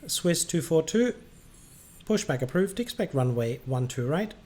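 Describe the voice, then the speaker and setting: A man with a somewhat high voice hosts a casual flight control game stream. He speaks in a halting, rambling way and switches into controller phrases. There is faint noise behind him. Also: big pitch swings, darkish tone. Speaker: livestream host playing air traffic controller. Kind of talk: casual gaming livestream chat with radio calls. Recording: clearly noisy background.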